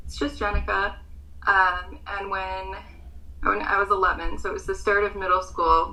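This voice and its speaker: Young american woman pageant guest, bright tense voice with vocal fry, talks earnest with ums. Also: mid-range voice, poor audio. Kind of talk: casual interview answers.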